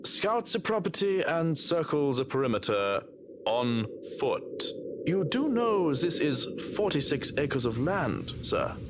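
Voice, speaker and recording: A man's voice: fairly high pitch, big pitch swings, takes it slowly. An actor voicing a reluctant, dryly sardonic male security employee in a video game. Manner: dry, sardonic. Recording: noisy background ambience.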